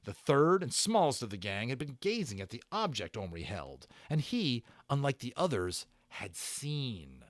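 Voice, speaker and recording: A man's voice: medium pitch, very animated, normal speed, hardly any pauses, no echo. A man giving a dramatic, suspenseful storybook narration in a theatrical manner.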